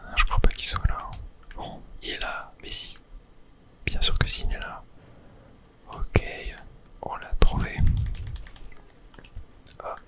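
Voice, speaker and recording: A man whispering casual ASMR gameplay commentary close to the microphone, breathy and muttering to himself and viewers. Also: takes it slowly, long pauses, pushed and bright. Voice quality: whispered, breathy, mostly unvoiced. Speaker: game streamer, ASMR video host.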